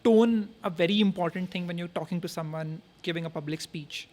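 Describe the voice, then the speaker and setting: High-voiced young host. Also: male voice, fairly quick, a little mellow. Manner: inquisitive, asks short questions. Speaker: podcast host. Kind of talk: casual interview chat.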